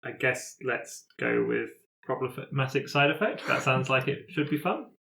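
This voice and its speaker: A man playing a tabletop roleplaying game, describing character actions in a jokey, halting, improvised way. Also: medium pitch, expressive, bright, forceful tone. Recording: echoey room.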